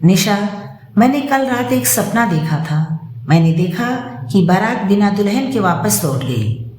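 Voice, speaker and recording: A woman's voice: medium pitch, very animated, measured pace, a little mellow. A woman voicing an anxious but proud and firm-willed young bride in an audio story. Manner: earnest, emotional. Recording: roomy, echoing sound.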